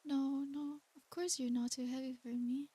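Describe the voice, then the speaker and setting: A woman playing a tender, doting, centuries-old succubus in a soothing, soft and breathy ASMR roleplay. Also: high voice, flat delivery, normal speed, no room sound. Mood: affectionate, coaxing. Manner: soothing, coaxing, hushed, with pet names.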